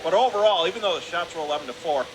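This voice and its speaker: A man's voice: on the high side, very fast. Man calling hockey over arena noise. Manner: clipped running phrases, calling action as it happens. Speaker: play-by-play radio commentator. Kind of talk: live ice hockey play-by-play commentary. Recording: clear steady arena noise behind voice. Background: hockey arena ambience.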